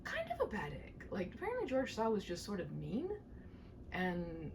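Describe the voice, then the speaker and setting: A woman's voice: mid-range voice, wildly swooping pitch, normal speed, creaky. A woman reading a story aloud in an amateur, informal way, with chatty, self-deprecating delivery. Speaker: amateur audiobook reader and channel host. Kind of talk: storybook narration with casual asides. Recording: distant mic with faint noise.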